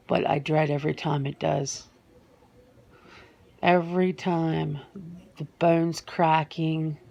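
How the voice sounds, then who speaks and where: Reluctant female werewolf played by a woman for ASMR interview roleplay: slow, slightly creaky, hesitant, sentimental. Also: deep voice, a little mellow, exaggerated voice. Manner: hesitant, halting, with repeated words.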